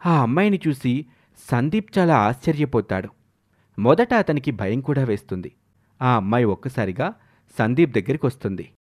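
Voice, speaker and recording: A male storyteller with a medium-pitched voice reads a scripted folk-style tale. His narration is dramatic, carrying the listener through a magical moral story. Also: darkish tone.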